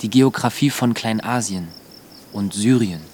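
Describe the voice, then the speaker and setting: A man's voice: lowish voice, a little slow, darkish tone, slight background noise, natural voice. A young male performer in a radio drama playing a polite, eager thirteen-year-old boy. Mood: eager, dutiful. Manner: dutiful, eager.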